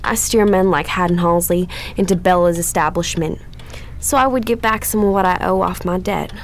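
A woman's voice: fairly low pitch, normal speed, slight background noise, close to an everyday voice. An actress playing a frightened, sugary Southern married woman in a radio drama, with a folksy, hesitant way of talking. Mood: ashamed. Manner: fluttery, folksy, hesitant stammer. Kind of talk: old-time radio drama acting.

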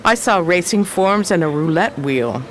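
A woman's voice: lowish voice, expressive, moderate pace, close to an everyday voice. A woman playing a bold, curious, teasing heroine in a 1920s period radio crime drama. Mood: sociable, curious. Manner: sociable, probing, slangy.

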